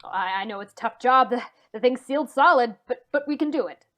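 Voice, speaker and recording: A woman's voice: fairly high pitch, brisk, a bit bright, close to an everyday voice. A woman acting a nervous, hesitant man in an old-time radio mystery, stammering as the character confides. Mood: urgent. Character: anxious man confiding a suspected family murder.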